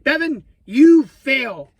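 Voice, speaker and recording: A man's voice: very high-pitched, expressive, very slow, dry recording, dull audio, pitched above the performer's own voice, heavily acted. Man putting on a bossy, mean swim mistress. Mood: smugly blunt. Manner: brash, blunt, taunting. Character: bossy, mean female swimming teacher, gleefully unfair.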